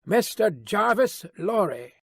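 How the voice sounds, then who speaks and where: A man voicing a hoarse, blunt messenger on horseback in an audiobook, calling out in terse phrases. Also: sits high, expressive, moderate pace, no room sound, clean studio sound, heavily acted. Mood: urgent, blunt. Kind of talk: dramatised audiobook reading.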